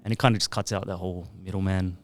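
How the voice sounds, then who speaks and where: A man's voice: low voice, flat delivery, very fast, no room sound. A man in his thirties, a music producer and DJ, talking in a laid-back, rambling way into a podcast mic. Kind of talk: casual podcast interview.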